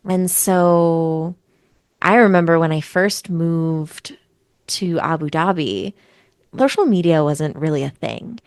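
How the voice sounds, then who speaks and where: Young adult woman, guest therapist; lowish voice, candid and animated; dry, close sound. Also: takes it slowly, clean tone, dry recording. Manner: candid and animated, acts out her own inner voice. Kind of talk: candid conversational interview.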